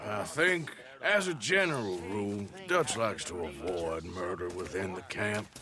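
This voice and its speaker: A man's voice: lowish voice, lively intonation, unhurried, crowd noise. A man with an American accent playing a wry, guarded, laconic Old West outlaw with folksy dryness in a video game. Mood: dry, amused. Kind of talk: naturalistic video game acting.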